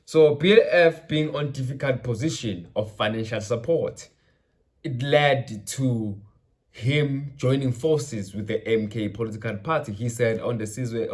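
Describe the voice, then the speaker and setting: A South African man from KwaZulu-Natal, a Zulu speaker, hosting his channel with loose, rambling political commentary that mixes in Zulu phrases. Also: medium pitch. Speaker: channel host. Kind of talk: casual political commentary vlog.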